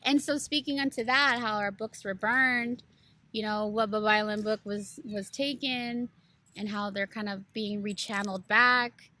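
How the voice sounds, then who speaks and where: A woman with a bright, clear tone talks through a book passage in a loose, casual way. She rambles and circles back, filling her sentences with "like" and "you know". The talk stays earnest and unscripted. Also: mid-range voice, steady tone, measured pace. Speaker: book club co-host. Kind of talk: casual podcast discussion.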